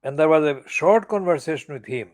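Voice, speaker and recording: An older man delivering an angry, profane political monologue as a channel editor, stressing words slowly and deliberately. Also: on the high side, expressive, a little mellow. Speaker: channel editor giving commentary.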